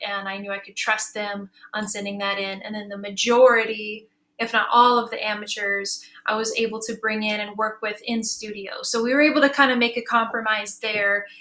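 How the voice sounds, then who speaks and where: A woman's voice: medium pitch, sharp tone, reverberant. An American woman speaking informally and chattily in a conversational panel discussion. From South Central New Mexico, United States. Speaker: audio drama creator on a panel.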